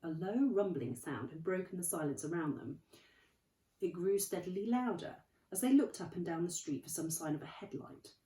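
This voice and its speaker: Woman teacher, expressive read-aloud, warm lowish tone; distant, echoey recording. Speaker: primary school teacher. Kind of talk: reading a storybook aloud. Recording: roomy, from off the mic.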